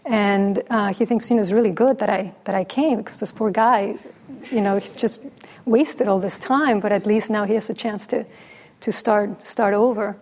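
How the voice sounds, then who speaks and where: Female dharma teacher, soft and pausing, a bit of a creaky edge. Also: mid-range voice, fairly even intonation. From Mexico. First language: Spanish. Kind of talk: dharma talk with storytelling.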